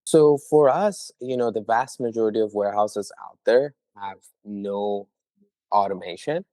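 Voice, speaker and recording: A man's voice: on the low side, unhurried, mellow, dry recording, studio quality. A young man who founded a startup, answering interview questions earnestly with frequent filler words.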